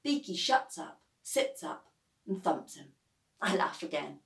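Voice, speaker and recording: Slow-reading woman in an echoey room. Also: fairly low pitch, big pitch swings, creaky. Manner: measured, deliberate phrasing. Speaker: author reading own novel. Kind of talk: expressive children's story narration. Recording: echoey room, distant from mic.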